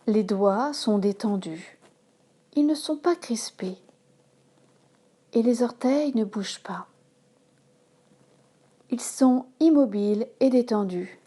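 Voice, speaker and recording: A woman yoga instructor leading a guided relaxation in a calm, measured manner, giving instructions in short phrases. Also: a little high, breathy edge. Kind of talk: slow guided relaxation meditation.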